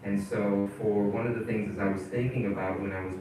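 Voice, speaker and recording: A man leading a community town hall, talking in a halting, casual way with many fillers and restarts. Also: lowish voice, steady tone, warm tone, soft background music. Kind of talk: casual spoken presentation. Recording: echoey hall, voice from far off.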